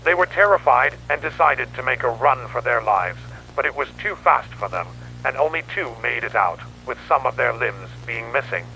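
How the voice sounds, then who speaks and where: A man's voice: mid-range voice, lively intonation, moderate pace, vocal fry, pushed and bright. A man playing a grim newspaperman who records a horror investigation log in a dramatic, grave storytelling manner. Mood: grim, ominous. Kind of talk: scripted analog horror narration. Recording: noisy radio-like tape with hum.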